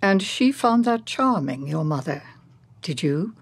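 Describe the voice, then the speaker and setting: A controlling, enigmatic older woman in a radio play. Her voice is husky and creaky, recorded very dry. She probes shrewdly, with deliberate, knowing phrasing. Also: on the low side, expressive, no room sound, full character voice. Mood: cool, controlling. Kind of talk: radio drama acting.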